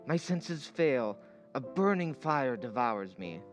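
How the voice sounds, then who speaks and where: A man playing a gentle German professor who loves poetry, speaking with deliberate, formal phrasing in old-time radio drama style. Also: mid-range voice, big pitch swings. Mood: yearning recitation. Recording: prominent music behind the voice.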